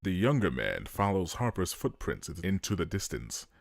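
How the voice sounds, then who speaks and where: A man's voice: low voice, sing-song, moderate pace, gravelly. A man narrating a dramatic scripted story.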